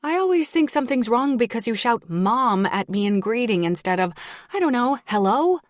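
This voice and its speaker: A woman voicing an anxious, sarcastic mother of a grown daughter in audiobook dialogue, emphatic and sharp in manner. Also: medium pitch, normal speed, no echo, clean studio sound, close to an everyday voice. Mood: snappy, exasperated.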